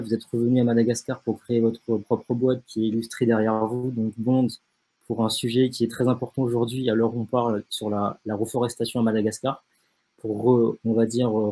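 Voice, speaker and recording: A young man hosting and moderating an online panel, introducing the guests in a halting way with frequent fillers. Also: mid-range voice, warm tone, breathy edge, poor audio. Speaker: host, moderator.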